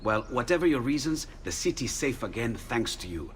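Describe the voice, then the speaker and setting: An actor voicing a cheerful, curious inventor in a video game, speaking in an eager, chatty way. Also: male voice, medium pitch, very animated, normal speed, a little hiss or hum, close to an everyday voice. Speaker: inventor friend.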